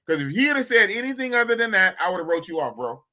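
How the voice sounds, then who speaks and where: A man's voice: sits high, quick pace, a little hiss or hum. A man hosting a casual live podcast chat, joking around and reading viewer comments aloud. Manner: animated, jokey, reads chat comments aloud. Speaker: podcast host.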